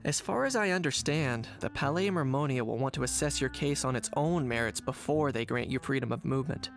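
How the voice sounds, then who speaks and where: Level-headed young man for a fantasy game, male actor: dry, composed, medium-pitched, over clear music. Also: a little fast, lightly acted. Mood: calm. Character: calm, matter-of-fact young man, dryly caring. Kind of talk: video game character acting.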